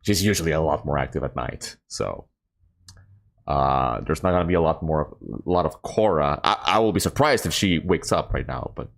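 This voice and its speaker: A man hosting a casual, improvised livestream, talking in an informal, rambling and slightly scattered way. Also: deep voice. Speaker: live streamer and host.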